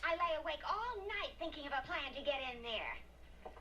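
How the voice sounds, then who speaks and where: A woman's voice: sits high, expressive, moderate pace, background noise. A woman playing a fussing, solicitous woman in a film drama, with dramatic delivery.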